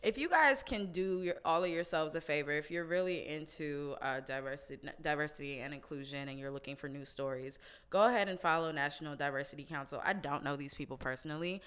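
A woman's voice: deep voice, a little fast, a bit bright, clear, resonant tone. A woman hosting a casual podcast chat, laid-back, swearing casually and laughing often. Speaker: podcast host.

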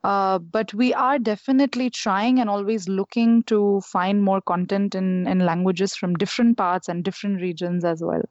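A woman's voice: mid-range voice, fairly even intonation, moderate pace, hardly any pauses, a little mellow, no room sound. A woman, speaking candidly and measuredly with many hesitations as an interview guest. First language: Maithili. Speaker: newsletter co-founder, guest. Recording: dull, dry lo-fi sound.

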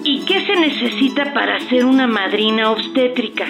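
A woman's voice: on the high side, normal speed, vocal fry. A woman with a Mexican accent playing a humble, courteous midwife calling in, deferential and inquiring, in a scripted radio drama. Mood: humble, curious. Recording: dull band-limited caller sound. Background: music.

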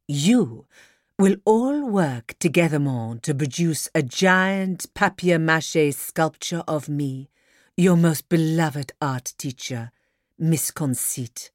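A woman's voice: low voice, animated, slow pace, a little mellow, no room sound, clean studio sound. A woman acting a vain, pompous art teacher in a children's audiobook, theatrical and self-important, with grandly mangled words. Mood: smug, grand.

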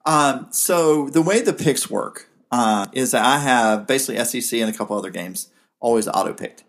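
A man's voice: mid-range voice, lively intonation, normal speed, fairly bright tone. A man from South Georgia with a Southern accent, co-hosting a casual sports talk show and rambling through opinions with frequent restarts. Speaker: co-host.

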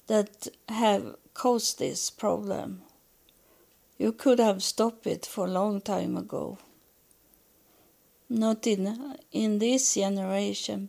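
A woman from Sweden with a Swedish accent, speaking English as a second language in a halting spiritual podcast monologue, searching for words. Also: medium pitch, creaky, a little mellow. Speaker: podcast host relaying spiritual messages.

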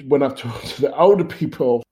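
A man's voice: medium pitch, brisk. A man in his fifties, a podcast guest, speaking thoughtfully with hesitant restarts. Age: 50s. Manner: thoughtful, hesitant restarts, soft murmured agreement. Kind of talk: casual conversation.

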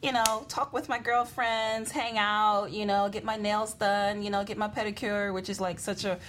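A woman's voice: mid-range voice, flat delivery, normal speed, a bit bright, a little hiss or hum. A woman guest on a talk show, giving advice in a chatty, informal way. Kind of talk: casual conversational advice.

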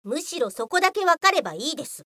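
Very high-pitched feisty policewoman. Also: very animated, fast, bright, forceful tone, no room sound, clean studio sound, heavily acted. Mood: skeptical scolding. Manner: scolding, blunt. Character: feisty Office police woman, scolding, exasperated straight-talker. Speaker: voice actor. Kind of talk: radio comedy drama acting.

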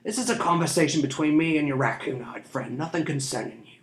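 A man's voice: a little high, quick pace, pitched above the performer's own voice, natural voice. A man voicing a harsh, scarred thief who is menacing but cowardly, with a sneering drawl, in a roomy room. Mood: sneering menace. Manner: sneering, rough-edged drawl. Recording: roomy echo.

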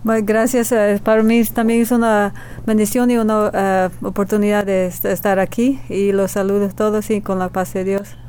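A woman speaking as an interview guest, halting and stammering a little over words. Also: medium pitch, level tone, a little mellow, slight background noise. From Bolivia. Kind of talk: conversational interview.

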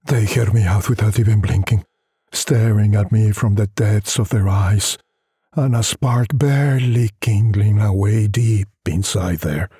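Male narrator, literary fiction. Very raspy, unhurried, deliberate, dramatic. Close, dry recording. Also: on the low side, mellow, dry recording. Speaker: narrator, story reader.

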